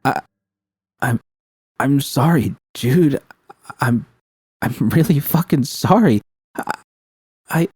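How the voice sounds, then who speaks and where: A man voicing a troubled woman mercenary in a story, anxious and halting in speech. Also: mid-range voice, animated, a little mellow, slightly rough, dry recording, clean studio sound, pitched above the performer's own voice, full character voice. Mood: shaky, guilty. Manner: halting, hesitant.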